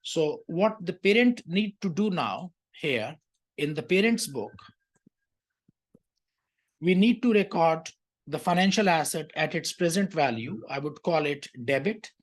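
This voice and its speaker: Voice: mid-range voice, expressive, unhurried. An accounting tutor giving an explanatory lecture through a worked exam question, in a didactic way that often checks the listener follows.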